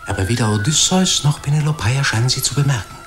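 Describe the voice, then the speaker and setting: A man narrating a radio play from a script in a solemn, deliberate, suspenseful manner. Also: mid-range voice, soft background music.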